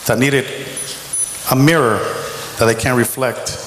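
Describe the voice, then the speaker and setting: A middle-aged man giving a measured, earnest opening statement as a mayoral candidate at a school debate. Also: medium pitch, fairly even intonation, fairly bright tone, a little hiss or hum. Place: school auditorium. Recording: roomy hall with long reverb.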